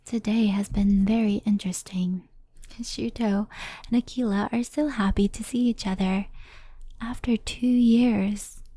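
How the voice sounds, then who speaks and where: Woman acting a female fox spirit, tender and devoted, soothing, breath-soft, dark-toned, close dry sound, ASMR roleplay. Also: mid-range voice, no echo, close to an everyday voice. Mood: calm, reflective. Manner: soft, slow, soothing, often whispery.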